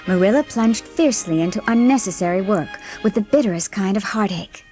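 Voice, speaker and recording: A woman's voice: medium pitch, a little mellow. A woman reading a classic novel as an audiobook narrator, in scripted storytelling style.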